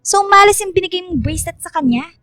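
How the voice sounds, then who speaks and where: A woman's voice: very high-pitched, animated, fast talker, bright, forceful tone, no room sound, studio quality, full character voice. A woman playing a snobbish, scheming young mean girl in a radio drama, haughty and taunting, mixing English into her lines. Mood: taunting, scornful.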